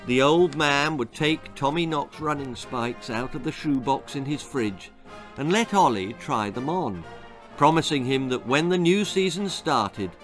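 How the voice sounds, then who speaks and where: An older man reading his own story for children as an expressive narrator. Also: medium pitch. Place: small book-lined room indoors.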